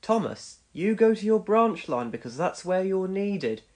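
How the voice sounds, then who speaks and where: A man voicing a calm, kind, dependable old steam engine in a children's storybook, gentle and orderly. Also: high-pitched, a little slow, pitched above the performer's own voice. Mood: calm, reassuring.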